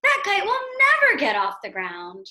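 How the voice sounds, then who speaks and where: A woman voicing mocking storybook robins, with a drawn-out, taunting delivery. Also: high-pitched, wildly swooping pitch, bright, forceful tone, exaggerated voice. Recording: roomy, echoing sound.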